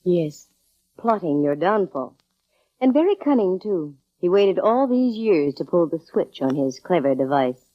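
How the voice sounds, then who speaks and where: An actress playing a brisk, wry woman private detective in a 1940s radio drama, with slangy, hard-boiled delivery. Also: lowish voice, big pitch swings. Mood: probing. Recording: dull vintage radio recording, music behind.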